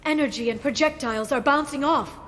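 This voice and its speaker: A woman's voice: sits high, fairly quick, a bit bright, slight background noise. A woman playing an earnest young information broker in a sci-fi action video game. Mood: urgent, commanding. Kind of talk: cinematic video game voice acting. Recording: music behind the voice.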